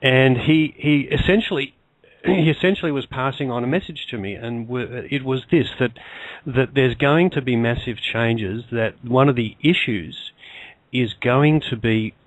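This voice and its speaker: A man speaking as a talk show guest, giving a halting conversational account in lo-fi audio. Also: medium pitch, no echo. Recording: dull lo-fi audio.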